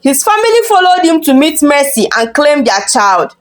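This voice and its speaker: A woman's voice: sits high, sing-song, normal speed. A woman narrating a scripted dramatic folktale as a storyteller.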